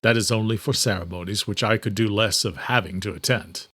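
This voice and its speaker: A regal, commanding nobleman, performed by a male narrator in an audiobook. He speaks formally, with a clipped and sharp manner. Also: on the low side, fairly quick, no echo, studio quality.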